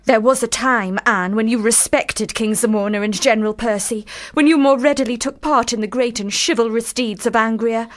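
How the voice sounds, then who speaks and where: A woman's voice: on the high side, moderate pace, few pauses, close to an everyday voice. A woman playing an earnest, bossy elder sister in a radio drama, speaking formally and precisely with reproof. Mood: earnest, reproving.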